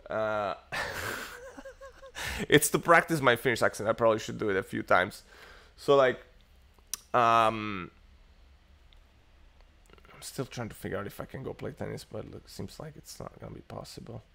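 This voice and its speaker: Rambly guy from italy livestreaming from his book-lined study, gravelly, bright edge. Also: lowish voice, huge pitch swings, normal speed, pauses often, a little hiss or hum. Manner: rambling, chatty, self-interrupting with fillers. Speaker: tech livestream host. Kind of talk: casual livestream chat. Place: home study lined with bookshelves. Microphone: earphone headset mic on a webcam stream.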